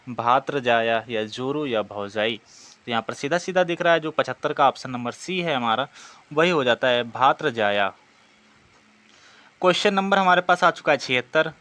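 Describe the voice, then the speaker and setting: A man's voice: mid-range voice, sharp tone. A man teaching an exam practice lesson, reading out questions and explaining the answers in a didactic way, often asking and answering himself.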